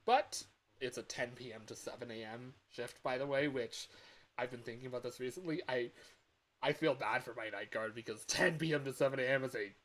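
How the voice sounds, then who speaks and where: A man talks casually on a livestream as an amateur game developer. His voice sits at an average pitch for a man. He rambles in a loose, unscripted way, often repeating a phrase before moving on. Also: a little fast, fairly bright tone.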